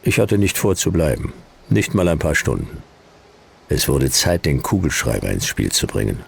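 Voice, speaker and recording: An actor playing a cynical hard-boiled detective in a noir radio drama, speaking in dry, clipped, deadpan phrases. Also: male voice, very deep voice, normal speed, creaky, slightly rough, full character voice. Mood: terse, sardonic.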